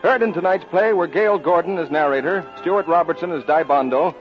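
A man's voice: on the high side, fairly quick. Male announcer on dull vintage radio. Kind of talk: 1940s radio announcing, scripted. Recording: dull vintage 1940s radio, music behind.

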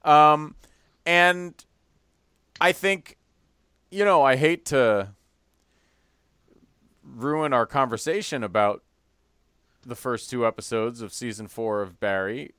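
A man with a smooth, resonant, mid-range voice co-hosting a podcast with wry, dry humor. He talks casually, often dropping quieter at the ends of thoughts. The recording is dry and close. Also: mid-range voice, takes it slowly, long pauses, dry recording. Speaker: podcast co-host. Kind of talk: casual chat.

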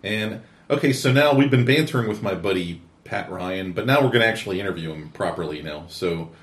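A man hosting a casual chat, rambling and halting with many fillers. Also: lowish voice, expressive, fairly bright tone. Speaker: host.

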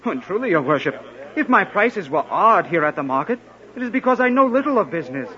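An actor playing a young outlaw hero in a mid-century radio drama, with a courteous, theatrical way of speaking. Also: male voice, sits high, very animated, brisk, sharp tone, heavily acted. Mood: feigned innocence. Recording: muffled old radio recording.

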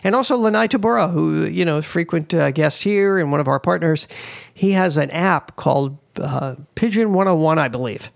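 Man, East Coast accent, middle-aged, gravelly and a bit high, dry close sound, rambling podcast talk. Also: normal speed, no room sound. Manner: rambling, many fillers and restarts. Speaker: podcast host. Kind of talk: casual advice talk.

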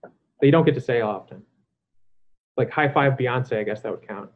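Rambling man on a tinny line. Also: medium pitch. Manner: rambling, halting, many fillers. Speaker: podcast host. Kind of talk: casual interview chat.

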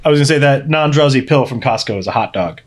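A man's voice: medium pitch, lively intonation, fast. A man co-hosting a podcast in casual chat, teasing his co-host with dry, playful wit.

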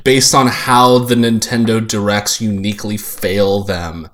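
A man's voice: on the low side, flat delivery, measured pace, a bit bright. A man chatting casually and giving opinions as a podcast panelist, wry and rambling, often repeating words.

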